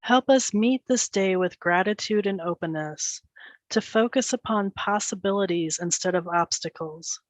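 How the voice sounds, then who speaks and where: A woman's voice: medium pitch, dry recording. Female meditation leader, mid-range voice, soothing and unforced, phrase-by-phrase guidance with space between, very little room sound. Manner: gentle, soothing instruction in short phrases with pauses. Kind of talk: guided meditation.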